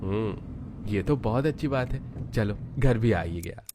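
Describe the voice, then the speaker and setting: A man voicing a calm, reassuring young newlywed husband in a cartoon story. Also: on the low side, unhurried. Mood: pleased.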